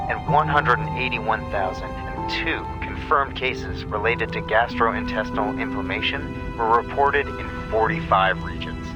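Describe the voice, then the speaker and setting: An actor playing a radio news announcer reading a formal public health bulletin in a measured, official way. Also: male voice, on the low side, close to an everyday voice.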